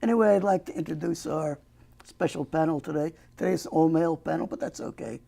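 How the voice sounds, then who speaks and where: Older male talk host, gravelly and warm-toned, folksy, jokey. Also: fairly high pitch, a little fast. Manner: folksy, joking asides. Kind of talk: local access TV talk show.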